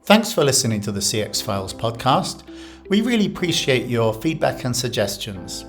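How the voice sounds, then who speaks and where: A man's voice: mid-range voice, big pitch swings, moderate pace, soft background music. A man hosting a podcast, asking interview questions with some hesitation and fillers. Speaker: podcast host.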